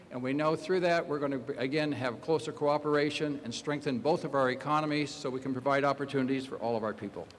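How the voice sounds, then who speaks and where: A man's voice: a little high, fairly bright tone, slight background noise. Man giving an official speech, reverberant. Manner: restarts, official tone. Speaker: county official. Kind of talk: formal public speech.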